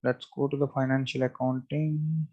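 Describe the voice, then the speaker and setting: A man giving a step-by-step screen-share software tutorial, thinking aloud in a halting, repetitive, instructional way. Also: mid-range voice, flat delivery, dull audio. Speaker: software trainer.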